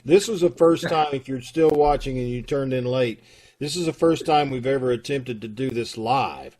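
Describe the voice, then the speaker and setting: A man's voice: mid-range voice, normal speed, darkish tone. Plainspoken man making troupe announcements. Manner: plainspoken, explanatory, folksy. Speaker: theater troupe member. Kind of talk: casual announcements. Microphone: video call.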